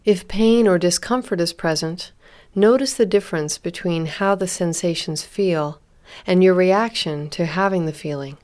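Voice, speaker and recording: Female meditation instructor, slightly low voice, calm and gentle, unhurried phrasing with long pauses. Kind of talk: guided body scan meditation.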